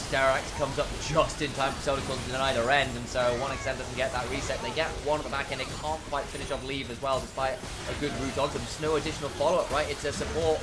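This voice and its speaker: A man co-casting a live esports match, calling fights rapidly and building to dramatic finishes. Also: medium pitch, level tone, over loud music. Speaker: esports co-caster. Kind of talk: play-by-play commentary.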